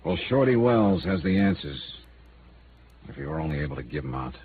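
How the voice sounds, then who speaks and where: An American actor playing a world-weary, blunt private investigator in a terse, dramatic 1950s radio noir style. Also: male voice, a bass voice, big pitch swings, brisk, slight background noise. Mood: weary, puzzled. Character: world-weary hard-boiled male insurance investigator, brooding and blunt. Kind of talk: 1950s radio noir acting, narrating and dialogue. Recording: muffled vintage radio recording with music.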